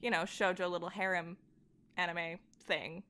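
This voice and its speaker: A woman chatting casually as an interview guest, animated, giggly and self-deprecating about herself. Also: fairly low pitch, moderate pace, smooth. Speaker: podcast guest, voice actor and director. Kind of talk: casual interview chat about comics and horror.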